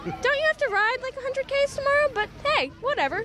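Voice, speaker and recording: A woman's voice: extremely high pitch, animated, a little fast, heavy background noise. A woman with an American accent, chatting casually in an interview as an event volunteer. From Seattle, United States.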